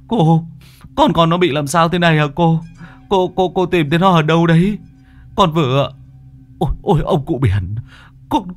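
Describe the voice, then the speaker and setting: A man's voice: high-pitched, pitched above the performer's own voice, lightly acted. A man voicing a frightened father who stammers and pleads in tears, begging a ghost to spare him. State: crying.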